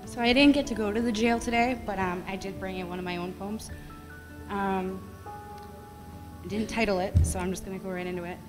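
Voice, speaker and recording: A woman's voice: mid-range voice, fairly even intonation, moderate pace, over music. Woman reading poetry in a reverberant hall. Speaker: poetry reader. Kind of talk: reading own rhyming poem aloud at an event. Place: library meeting room, at podium. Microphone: podium gooseneck microphone. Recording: echoey hall with long reverb.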